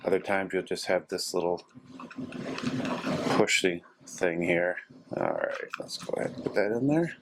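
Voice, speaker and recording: A man's voice: low-pitched, unhurried, creaky, pauses often. A middle-aged man in a casual, unassuming hands-on tutorial, thinking aloud as he works. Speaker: host of a DIY repair vlog. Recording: steady machine noise in background, 3D printer. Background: 3D printer running.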